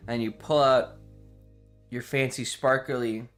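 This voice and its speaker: Male live streamer, mid-range voice, loose rambling chat with a playfully dramatic streak, soft guitar music behind. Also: mid-range voice, fairly even intonation, slow. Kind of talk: casual livestream chat with viewers.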